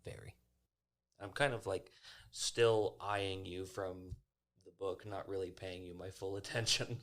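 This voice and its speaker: A man's voice: sits low, fairly even intonation, a little slow, a bit bright. A man playing a tabletop game, casually describing what his character does.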